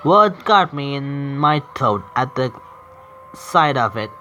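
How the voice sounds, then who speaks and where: A man streaming a visual novel game, reading the narration aloud haltingly, stumbling over words and correcting himself. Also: mid-range voice, expressive, pushed and bright, a little hiss or hum.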